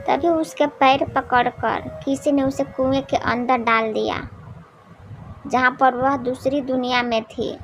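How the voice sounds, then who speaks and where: Female narrator for a moral story, high register, unhurried pace, noisy recording. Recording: clearly noisy background.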